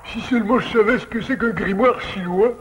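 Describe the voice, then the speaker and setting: A comic animal sidekick from a cartoon, played by a man. He has a high voice and frets in a fawning, theatrical way. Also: brisk, in a large hall, background noise, lightly acted. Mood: eager, grumbling. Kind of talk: French-dubbed cartoon acting.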